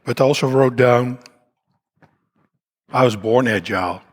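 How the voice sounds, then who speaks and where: A man from the Netherlands with a Dutch accent and a croaky voice, speaking at a conference in a rambling, self-deprecating way with many fillers and restarts. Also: medium pitch, a little slow. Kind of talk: conference talk. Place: conference hall.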